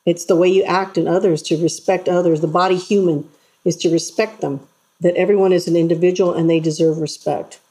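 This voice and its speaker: Woman interview guest, earnest storyteller, husky and warm in tone. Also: on the low side, a little fast. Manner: earnest, animated storytelling with acted-out voices. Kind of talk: casual interview chat.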